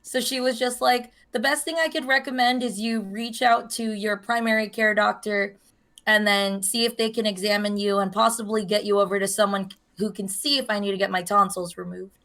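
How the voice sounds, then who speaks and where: A young woman with a hoarse voice, co-hosting a podcast in casual chat, telling a long story and acting out the voices of the people in it. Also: medium pitch, fairly even intonation, fairly quick, a bit bright. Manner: chatty storyteller, acts out quoted voices. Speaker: podcast co-host.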